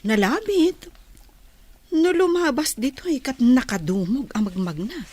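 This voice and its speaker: A woman's voice: high voice, animated, unhurried, darkish tone. A woman playing an observant, gossipy office employee with a Filipino accent in a radio drama. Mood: curious.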